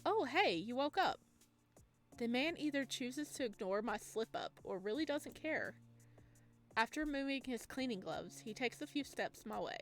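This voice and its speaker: A woman reading a video game's text aloud and chatting with expressive, excited reactions as she plays. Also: on the high side, normal speed. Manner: chatty, expressive asides between read lines. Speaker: video game streamer, let's player. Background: game music.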